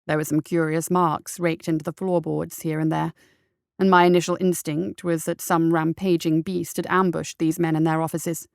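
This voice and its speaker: A woman reading as a haunted private investigator writing home, formal, sombre and measured, in an audio drama. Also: deep voice, little pitch movement, gravelly, a little mellow, clear, resonant tone, no echo, studio quality. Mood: grim, troubled. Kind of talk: audio drama letter narration.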